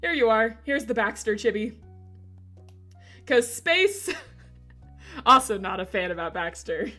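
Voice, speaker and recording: A woman streamer with a slightly hoarse voice, chatting casually and giggling as she talks to her chat. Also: a little high, expressive, unhurried, bright, forceful tone, faint music behind.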